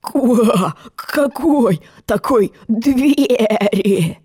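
Storybook role: female reader as fat old frog doorman. Hoarse croak, dragged-out words, dim, grumpy. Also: a little high, warm tone, exaggerated voice. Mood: gruff. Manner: drawn-out grumbling, punctuated with croaks.

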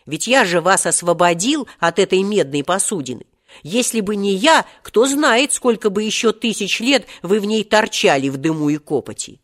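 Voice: mid-range voice, sing-song, moderate pace, a bit bright, pitched above the performer's own voice. A storybook reader voicing a young schoolboy who is cheeky, earnest and politely argumentative. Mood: indignant. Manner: earnest, pert, bookish politeness. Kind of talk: acted children's storybook reading.

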